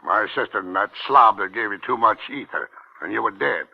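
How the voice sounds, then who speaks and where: An actor in a 1950s radio drama playing a seedy back-alley doctor, insistent and wheedling, with a gravelly voice. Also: male voice, fairly low pitch, expressive, fairly quick. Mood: agitated, insistent. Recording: dull, band-limited 1950s radio recording.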